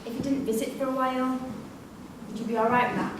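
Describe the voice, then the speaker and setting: A woman's voice: high voice, steady tone, quick pace, natural voice. A woman playing a weary, placating middle-aged prisoner's wife and worried mother in a staged play reading, hesitant and plain-spoken. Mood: gentle, hesitant. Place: indoor performance venue, recorded from the audience's distance. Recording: echoey hall, distant mic, faint hiss.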